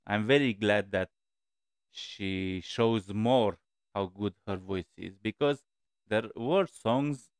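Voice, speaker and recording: A man's voice: lowish voice, clean tone, no room sound. A man in his forties hosting a music reaction video, talking casually and hesitantly with many fillers, from a small room on a headset mic.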